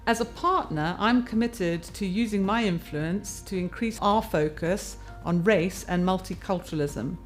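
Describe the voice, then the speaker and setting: A woman who is a partner at a firm, speaking earnestly and in a measured way in a corporate testimonial. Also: mid-range voice, soft background music. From England.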